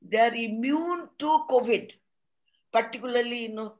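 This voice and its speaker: High-voiced Indian woman presenting. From Odisha, India. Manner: earnest, explanatory, pauses mid-sentence. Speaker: conference presenter. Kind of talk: academic talk on a video call. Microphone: video call.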